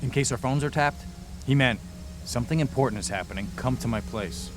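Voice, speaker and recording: An actor playing a weary, sardonic young ghost hunter, narrating and talking in a wry, deadpan way in a radio drama. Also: male voice, medium pitch, fairly quick, natural voice. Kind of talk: first-person radio drama narration and dialogue. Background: loud dramatic music.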